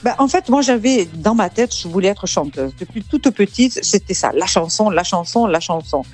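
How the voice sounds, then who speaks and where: Female singer, 50s, from southern France, halting mid-pitched speech, faint music under. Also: lively intonation, darkish tone. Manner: hesitant, repeats words and fills with euh. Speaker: singer, interview guest. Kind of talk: casual interview.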